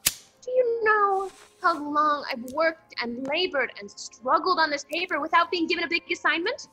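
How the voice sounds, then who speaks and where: A woman's voice: very high-pitched, lively intonation, moderate pace, bright, forceful tone, soft background music, exaggerated voice, low-quality recording. A woman playing a bossy, impatient, fiery young woman reporter in a 1940s radio comedy. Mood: exasperated, demanding.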